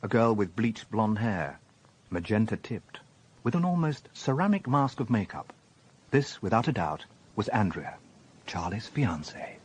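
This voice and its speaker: An actor playing a methodical, courteous police inspector in a radio drama, measured and polite as he narrates and questions. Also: on the low side, big pitch swings, a little mellow, slight background noise, poor audio. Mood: reflective. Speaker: narrator and lead detective.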